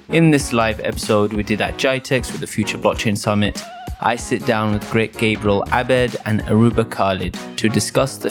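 A man hosting a podcast, reading a scripted intro and then moderating a live panel before an audience at an expo booth. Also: lowish voice. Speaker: podcast host.

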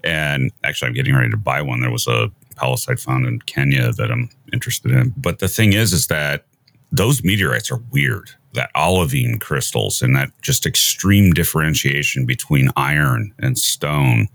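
A man hosting a conversational science interview in a measured, musing way. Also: extremely low pitch, a bit bright, no echo. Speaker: podcast host interviewing a guest.

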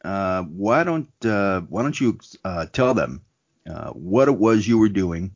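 A man hosting an interview podcast, talking in a relaxed, laid-back way with plenty of fillers. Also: sits low, measured pace, highs cut off. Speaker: podcast host.

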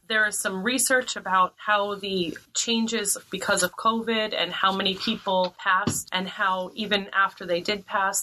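Enthusiastic woman co-hosting a faith podcast, bright clear voice. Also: mid-range voice, poor audio. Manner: lively, enthusiastic, trained singer. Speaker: podcast co-host, evangelization staff. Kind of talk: casual conversation.

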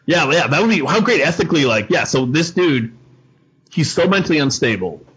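A man with a husky, rough voice, co-hosting a casual fan discussion podcast. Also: a little high, very animated, fairly quick, fairly bright tone. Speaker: podcast co-host. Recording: slightly dull, lo-fi audio.

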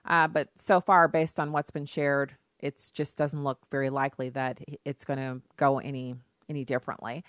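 A woman's voice: very deep voice, flat delivery, moderate pace, few pauses, no room sound, clean studio sound. A woman hosting a call-in radio talk show, firm and argumentative as she pushes back on callers. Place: radio studio.

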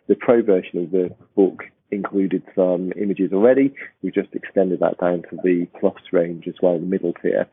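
A man hosting a podcast, with a croaky voice and a rambling, restarting way of talking. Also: sits low, little pitch movement, moderate pace, hardly any pauses. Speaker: podcast host. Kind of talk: casual podcast chat. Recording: tinny phone-like line.

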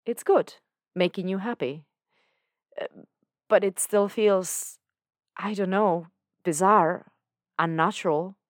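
A woman's voice: medium pitch, slow, pauses often, no echo, clean studio sound, pitched above the performer's own voice, lightly acted. A woman voicing an emotional young man who talks in an earnest, candid way with casual slang. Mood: wondering. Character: young man, emotional and confessional, self-doubting.

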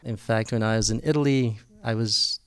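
A man's voice: fairly low pitch, moderate pace, smooth. An American man chatting as a guest, explaining things at length and laughing often. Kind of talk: casual interview chat.

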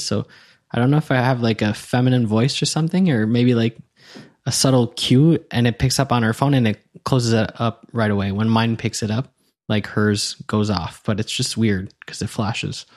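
A man's voice: medium pitch, steady tone, moderate pace. A man who is a guest on a tech podcast, telling a puzzled anecdote. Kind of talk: casual tech chat.

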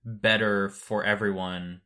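A man's voice: lowish voice, level tone, takes it slowly, sharp tone. A man co-hosting a podcast, explaining things in an informal, matter-of-fact way in casual conversation. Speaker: podcast co-host.

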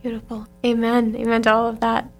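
Affirming woman interviewer with gravelly voice. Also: a little high, completely flat delivery, brisk, soft background music. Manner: affirming, short responses. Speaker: interview host. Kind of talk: conversational interview.

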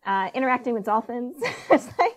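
A woman's voice: on the high side, very animated, moderate pace. A woman in her thirties from Massachusetts, chatting casually as an interview guest, with many fillers and frequent laughs. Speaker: guest researcher.

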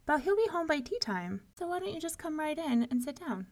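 A woman voicing a clever, calm giant's wife in a storybook tale, coaxing sweetly with feigned innocence. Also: on the high side, moderate pace, voice pushed up. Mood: sweetly innocent.

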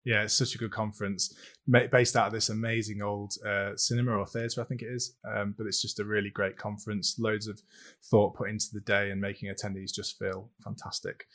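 A man's voice: on the low side, level tone, brisk, few pauses, fairly bright tone. A man hosting an interview podcast in an informal, chatty, conversational way.

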